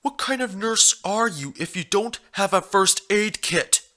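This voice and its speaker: Man voicing a clueless, insistent bright-toned stallion. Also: high voice, animated, measured pace, voice pushed up, heavily acted. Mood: stubborn, incredulous. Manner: puzzled, pestering questions. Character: clueless, stubbornly insistent young male pony stallion.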